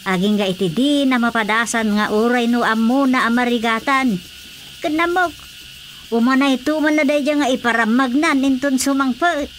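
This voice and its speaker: Woman playing higher-voiced grumbling Ilocano mother. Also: normal speed, slight background noise, close to an everyday voice. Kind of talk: Ilocano radio drama comedy acting.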